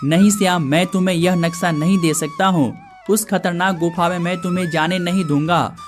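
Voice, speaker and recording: A man's voice: high voice, fairly even intonation, normal speed, faint music behind. A man voicing the brothers and villagers of a cartoon story in plain conversational dialogue.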